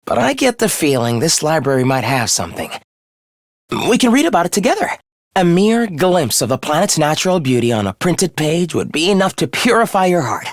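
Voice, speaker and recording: A courteous, menacing young misanthrope with swooping pitch. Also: male voice, very animated, a little fast, dry recording, studio quality. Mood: soft, courteous. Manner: courteous, formal, theatrical, condescending. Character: polite, softly menacing young man who loathes humanity. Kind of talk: English video game voice acting.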